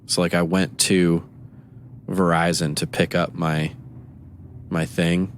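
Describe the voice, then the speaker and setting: A man with a very deep voice talks loosely in a casual podcast chat. He rambles and acts out little quoted exchanges as he tells a story. A faint hiss sits under the recording. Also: flat delivery, slow. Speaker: podcast co-host.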